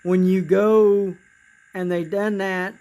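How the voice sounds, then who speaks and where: A man with a raspy voice demonstrating his model trains in a casual, halting way, with many fillers and repeated words. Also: high voice, flat delivery, darkish tone. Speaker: model railroad hobbyist.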